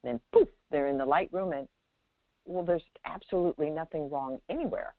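A woman's voice: sits low, no room sound. Woman teaching over a tinny phone line. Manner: measured, halting, with pauses and emphatic check-in questions. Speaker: Zen teacher hosting a call-in. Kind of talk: unscripted spiritual guidance on a call-in. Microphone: telephone.

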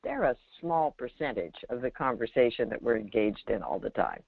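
A woman's voice: a bass voice, sing-song, moderate pace, creaky, breathy edge, no room sound. A woman giving spiritual guidance to callers as a meditation teacher, speaking emphatically and often checking in with rhetorical questions. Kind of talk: spiritual guidance in a call-in conversation. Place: remote audio call. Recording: dull, lo-fi call audio.

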